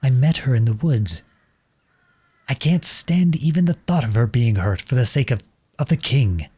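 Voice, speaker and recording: A man's voice: medium pitch, lively intonation, normal speed, warm tone, breathy edge. A man playing an impassioned, defiant young prince turned soldier in a scripted audio roleplay, with an earnest, dramatic delivery. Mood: urgent, pleading.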